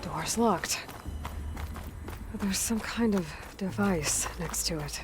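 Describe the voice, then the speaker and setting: A woman's voice: on the low side, takes it slowly, background noise. An actress voicing a determined young woman explorer in a video game, curious and matter-of-fact.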